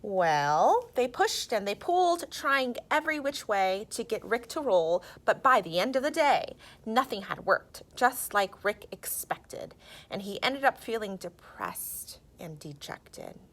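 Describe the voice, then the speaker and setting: Female picture-book narrator, unhurried, bright and highly animated, emphatic delivery. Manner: theatrical, emphatic. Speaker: children's story reader. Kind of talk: expressive scripted storybook narration.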